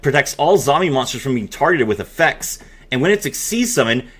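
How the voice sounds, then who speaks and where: A man hosting a dramatic reading mixed with excited reaction commentary, expressive and given to amazed exclamations. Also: mid-range voice. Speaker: host.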